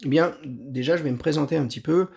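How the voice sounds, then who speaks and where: Gravelly man in his fifties coaching. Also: on the high side, a little mellow. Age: 50s. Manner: measured, earnest, with occasional restarts. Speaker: exam prep coach. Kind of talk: scripted talk to camera.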